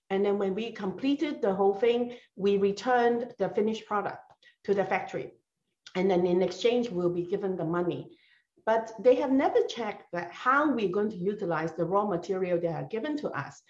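A woman's voice: medium pitch, darkish tone. Lady telling a story over a webcam from her study, kinda echoey and muffled. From Hong Kong. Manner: storytelling, earnest. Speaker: speaker giving opening remarks. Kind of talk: personal story speech. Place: home study with bookshelves. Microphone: video call webcam. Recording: roomy, dull webcam audio.

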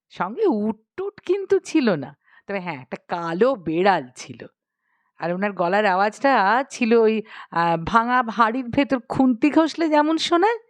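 A woman's voice: mid-range voice, no room sound. A woman voicing a fretful middle-aged queen mother in a storybook, chiding and nagging in a motherly way. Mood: hesitant recalling. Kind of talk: dramatised storybook reading.

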